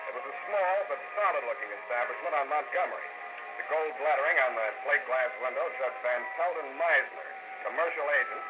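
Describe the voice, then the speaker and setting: An actor playing a wry, unflappable private detective in an old radio drama, with clipped, deadpan delivery. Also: male voice, medium pitch, close to an everyday voice. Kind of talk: 1940s radio detective acting with narration. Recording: very noisy, muffled old radio recording.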